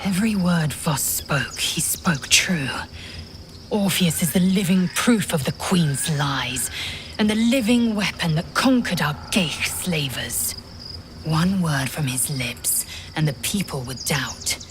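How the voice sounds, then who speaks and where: Solemn, low-voiced alien warrior woman. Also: animated, slow, a little hiss or hum. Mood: fervent, reverent. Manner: solemn, declamatory, clipped. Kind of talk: dramatic game dialogue.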